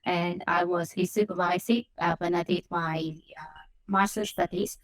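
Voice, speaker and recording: A woman's voice: on the low side, steady tone, unhurried, dry recording. Reflective woman from Hong Kong, dry sound. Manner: reflective, frequent "like" and "um" fillers. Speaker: assistant professor, podcast guest. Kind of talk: conversational interview answers.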